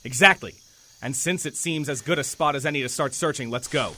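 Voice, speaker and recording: An actor playing a stern, dry-witted superhero team leader who gives clipped orders in an audio drama. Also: male voice, medium pitch, fairly quick, bright, forceful tone, a little hiss or hum. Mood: dry, commanding.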